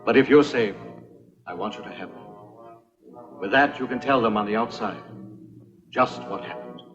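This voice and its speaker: An actor playing a dignified captive king and protective father in a dubbed historical film, speaking gravely and with measured care. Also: medium pitch, lightly acted. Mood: earnest, resigned. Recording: dull dubbed film soundtrack with music.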